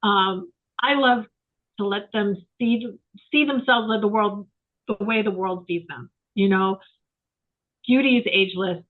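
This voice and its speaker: A woman's voice: medium pitch, unhurried. Give me a reflective woman around sixty on a remote call with a thin, phone-like sound. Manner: rambling, reflective, many fillers. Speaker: guest, photographer. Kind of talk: casual interview chat. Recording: tinny phone-like line.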